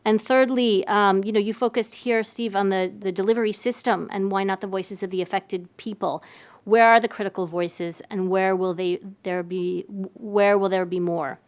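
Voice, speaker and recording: A woman's voice: mid-range voice, fairly even intonation, moderate pace, smooth. A woman chairing a question and answer session, summarising questions and handing over to panelists. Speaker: panel moderator.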